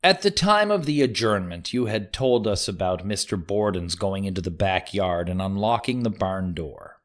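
Man playing a formal prosecutor with lively intonation. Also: sits low, moderate pace. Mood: methodical, probing. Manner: formal, probing questioning. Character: methodical male prosecuting lawyer questioning a witness. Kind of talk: courtroom transcript dramatization.

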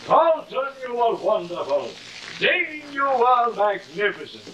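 A man's voice: very high-pitched, big pitch swings, slow pace, bright, forceful tone, highs cut off, heavily acted. A middle-aged actor playing a vain, cruel, drunken stage-actor father in a grandiose, slurred, taunting way, in theatrical 1950s television style. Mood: drunken, gloating. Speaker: actor in a television horror drama. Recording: hissy old 1950s television soundtrack.

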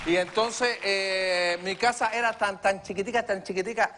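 An actor playing a naive, boastful man who keeps mangling words, speaking deadpan. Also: high voice, normal speed, soft background music. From Cuba. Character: naive boastful Cuban man, malapropism-prone musician. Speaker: comedy character guest. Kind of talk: sketch comedy interview. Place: TV studio set with live audience. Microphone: lapel mic.

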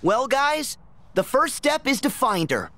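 A man's voice: very high voice, very animated, unhurried, bright, forceful tone, dry recording, full character voice. A man playing an earnest, plucky teenage boy hero in a video game, blunt and casual in manner. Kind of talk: video game cutscene acting.

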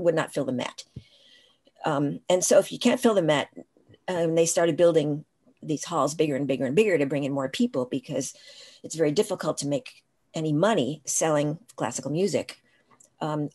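A woman's voice: sits low, a little mellow, husky. An older woman and writing professor, talking reflectively in a casual video-call interview from a room at home, with frequent restarts.

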